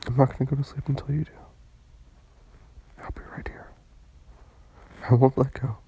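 A person playing a tender, protective romantic partner in an intimate comfort roleplay, speaking in hushed, soothing, breathy murmurs. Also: medium pitch, flat delivery, normal speed, a little hiss or hum, close to an everyday voice. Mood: soft, loving.

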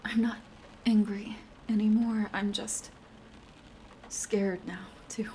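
Woman doing a girlfriend w a temper, talks right at you, kinda high voice, slow and earnest. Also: fairly even intonation, a little mellow, a little hiss or hum. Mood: soft apologetic. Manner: emotional, earnest, addresses listener directly. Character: young adult girlfriend with a temper. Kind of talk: listener-directed audio roleplay.

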